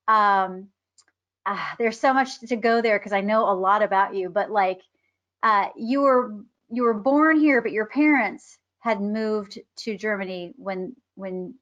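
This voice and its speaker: A woman's voice: medium pitch, fairly bright tone, dull audio. A woman in her fifties hosting an interview show, bubbly and chatty. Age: 50s. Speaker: host. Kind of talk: casual interview chat.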